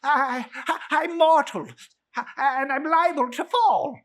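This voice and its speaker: A man's voice: very high voice, slow, a bit bright, pitched above the performer's own voice, full character voice. A man voicing a crotchety old miser in a storybook reading, blustering and stammering with exclamations. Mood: frightened pleading.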